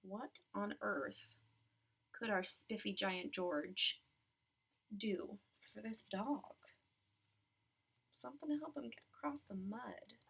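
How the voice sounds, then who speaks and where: A woman's voice: medium pitch, slow pace, gravelly, pauses often, fairly bright tone, breathy edge. A woman reading a children's story aloud expressively, talking directly to young listeners with explanations and questions. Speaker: storybook reader.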